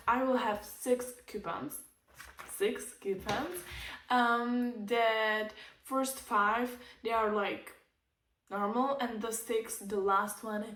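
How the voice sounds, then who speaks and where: A young woman from the Czech Republic with a Czech accent, talking through a casual DIY vlog in halting English as she searches for words. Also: fairly high pitch, fairly bright tone, slight background noise. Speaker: vlogger. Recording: echoey, from a distance.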